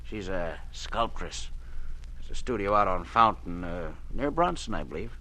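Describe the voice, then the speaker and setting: An actor playing a nervous, smooth-talking business agent in an old radio drama, hesitating with small pauses. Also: male voice, deep voice, animated, pushed and bright, full character voice. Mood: guarded. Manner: hesitant, slightly evasive, with frequent "uh" pauses. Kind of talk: 1940s radio drama acting.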